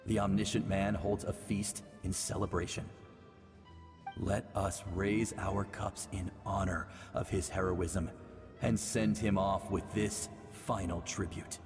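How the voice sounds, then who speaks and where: Solemn game mentor, deliberate proclamations, low register, hall reverb, soft music behind. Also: male voice, flat delivery. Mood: reverent. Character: grave, ceremonial male mentor bestowing an ancient name. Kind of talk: scripted video game voice acting.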